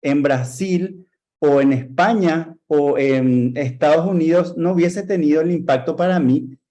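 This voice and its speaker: A man with a Paraguayan Spanish accent giving an earnest academic talk to teachers, posing rhetorical questions and stressing his points with measured emphasis. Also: a little high, mellow, dull audio. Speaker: lecturer.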